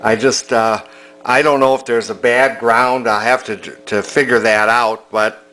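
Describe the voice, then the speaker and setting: An older man giving a casual repair demonstration as a hobbyist, halting as he thinks aloud. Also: mid-range voice, fairly even intonation, pushed and bright. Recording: background music from vintage radio and turntable.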